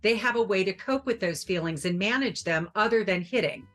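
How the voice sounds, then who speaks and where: A middle-aged woman appearing as a parenting expert on a TV talk show, explaining her advice calmly and clearly. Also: mid-range voice, a little fast, faint music behind. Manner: calm, explanatory, warm advice-giving.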